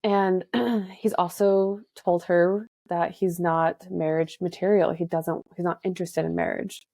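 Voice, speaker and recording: A woman's voice: lowish voice, fairly even intonation, measured pace, vocal fry, dark tone, no echo, studio quality. A woman in her thirties, a therapist guest on a podcast, speaking in a thoughtful, reflective way. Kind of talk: casual podcast conversation.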